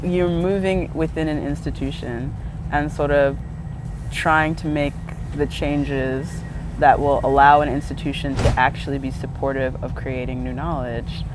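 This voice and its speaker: A man's voice: mid-range voice, fairly even intonation, measured pace. A man in a public conversation, speaking in a thoughtful, searching way with many fillers, outdoors at a busy train station. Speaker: guest speaker. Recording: noisy outdoor street recording. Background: traffic and vehicles.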